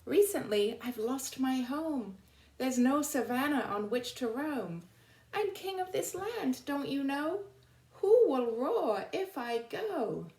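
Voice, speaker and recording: A woman's voice: high voice, big pitch swings, a little mellow, pitched above the performer's own voice, full character voice. Woman reading as a proud storybook lion, lilting and echoey. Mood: plaintive. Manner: measured, sing-song storybook verse. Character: proud lion king pleading for his lost home. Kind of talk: children's picture-book poem reading. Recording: roomy, echoing sound.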